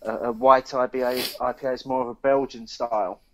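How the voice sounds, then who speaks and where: A man's voice: medium pitch, flat delivery, a little fast, a bit bright, a little hiss or hum, poor audio. A British man co-hosting a podcast, talking in a casual, chatty style about what is in front of him. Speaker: podcast co-host reading news. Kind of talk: casual beer podcast chat.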